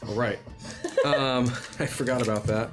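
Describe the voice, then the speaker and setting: A man's voice: mid-range voice, steady tone, moderate pace, over loud music. Chatty man running a tabletop game. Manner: loose and chatty, many ums, laughs easily. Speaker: game master.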